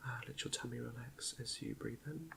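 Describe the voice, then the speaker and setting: A man quietly leading an ASMR guided meditation in a soft half-whisper, gentle and a little hesitant, close to the microphone with chalk clicks behind him. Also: mid-range voice, fairly quick, heavy background noise. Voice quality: soft, breathy half-whisper with creaky fry.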